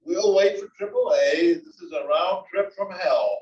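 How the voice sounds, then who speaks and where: A man's voice: sits high, measured pace, a little mellow. A man playing a grumbling, exasperated old husband with wry complaints in a comedy table read. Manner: complaining, exclaiming. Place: library room, table read. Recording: dull, echoey library room, distant mic.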